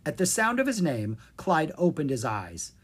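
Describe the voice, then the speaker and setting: A woman reading a children's fairy tale aloud as an expressive storyteller. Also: sits low, normal speed, slightly rough. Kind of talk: expressive scripted narration for children.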